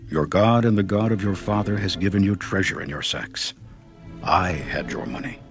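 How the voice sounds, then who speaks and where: An actor playing a calm household steward in a Bible audio drama, soothing and reassuring. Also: male voice, low voice, normal speed, soft background music. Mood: calm reassurance.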